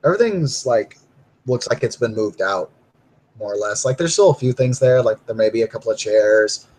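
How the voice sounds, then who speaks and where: A man's voice: medium pitch, expressive, normal speed, a little mellow, breathy edge, low-quality recording. A man casually narrating his character in a tabletop roleplay, rambling with many fillers. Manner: rambling, filler-heavy, laughs while talking.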